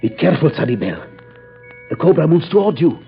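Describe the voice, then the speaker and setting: A man's voice: a little high, very animated, brisk, gravelly, soft background music. An actor playing a nervous, trembling criminal henchman in 1940s radio style. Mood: frightened. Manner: anxious, trembling. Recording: muffled, noisy old radio recording.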